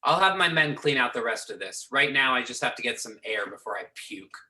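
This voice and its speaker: Gruff, disgusted commander read by a man, very bright and forceful, echoey call audio. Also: mid-range voice, animated, a little fast. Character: gruff, disgusted male raid team commander. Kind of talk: amateur readers theater over a remote call. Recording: roomy echo on remote call.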